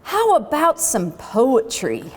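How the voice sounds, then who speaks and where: Storybook girl sloth acted by a woman: eager, bouncy, high voice, heard with long reverb. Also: wildly swooping pitch, takes it slowly, a little mellow, slight background noise, full character voice. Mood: perky, eager. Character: perky, energetic young female sloth newcomer.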